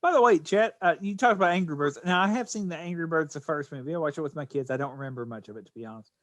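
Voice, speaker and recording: A man's voice: fairly high pitch, very fast, no room sound. A middle-aged man chatting casually as a podcast co-host.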